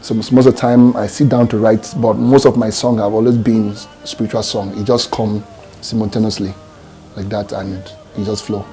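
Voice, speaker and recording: A man's voice: fairly low pitch, flat delivery, moderate pace, dark tone, soft background music. A Nigerian man, a gospel singer, answering interview questions in a reflective, hesitant way with many fillers and restarts.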